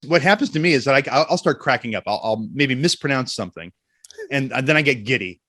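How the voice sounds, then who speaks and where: A man speaking as an interview guest and voice actor, telling stories in an expressive, jokey, self-deprecating way. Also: medium pitch, fast talker, no echo, studio quality. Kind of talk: animated interview storytelling.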